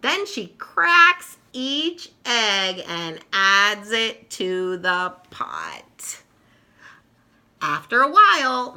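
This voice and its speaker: An expressive woman narrates a children's storybook. Her voice is very bright and forward, swinging widely in pitch. She takes her time, telling it at a slow, deliberate pace. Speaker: storybook reader.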